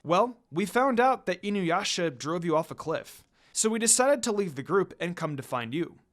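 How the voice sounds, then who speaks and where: A man's voice: high-pitched, big pitch swings, moderate pace, a bit bright. A man voicing a courteous, reassuring young male companion in a dramatic story reading. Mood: explaining.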